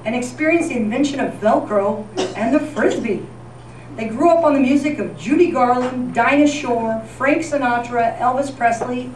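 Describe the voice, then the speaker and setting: Woman presenter in a noisy, echoey room. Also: fairly high pitch. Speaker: presenter at a volunteer appreciation event. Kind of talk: scripted narration of nostalgic local history. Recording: echoey room, distant mic, clear background noise.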